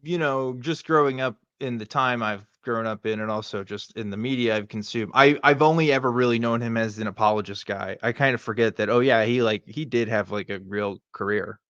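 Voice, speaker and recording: A man's voice: mid-range voice, brisk, few pauses, fairly bright tone, clean tone, no echo, dull audio. A man hosting a live show, chatting casually and joking in a sarcastic manner. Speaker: host of a live weekly show. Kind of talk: casual, joking commentary chat.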